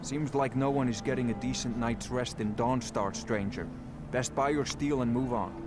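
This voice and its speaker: A curt, weary fantasy game blacksmith, played by a man, speaking at a middle pitch with little welcome for strangers. Music plays clearly behind him. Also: normal speed, lightly acted. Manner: curt, unwelcoming. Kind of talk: video game character dialogue.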